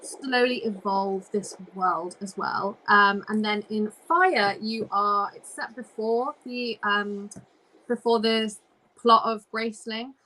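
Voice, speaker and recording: A woman's voice: medium pitch, fairly even intonation, takes it slowly, sharp tone, slight background noise, rough recording. A woman hosting a casual book discussion on a livestream, talking in a chatty, informal way. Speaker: host of a book discussion live show.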